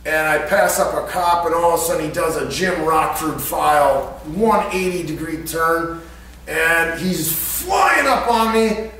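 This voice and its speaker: An American man casually telling a personal anecdote in an animated, everyday storytelling way. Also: a little high, unhurried. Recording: echoey room, distant mic, faint hum.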